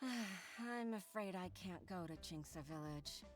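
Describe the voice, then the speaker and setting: A woman's voice: lowish voice, expressive, slow, soft background music. An actress voicing an earnest, apologetic junior female clerk in a video game, deferential and a little hesitant.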